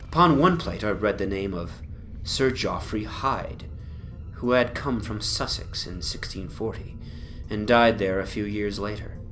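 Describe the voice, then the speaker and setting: A man voicing an obsessed, morbid gentleman in a dramatic Gothic horror reading, with deliberate phrasing that often sinks into breathy whispers. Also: on the low side. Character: obsessed, morbid gentleman recounting his haunted past. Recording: ambient soundscape noise behind the voice. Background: atmospheric horror soundscape.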